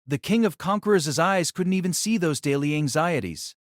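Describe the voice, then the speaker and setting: A man's voice: on the high side, expressive, normal speed, no echo, clean studio sound. A man reading dramatic scripted story narration for an audio drama.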